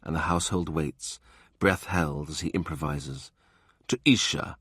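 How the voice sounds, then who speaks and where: A man narrating a historical novel audiobook in a measured, even storytelling manner. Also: rumbling low, slightly rough, dry recording, clean studio sound.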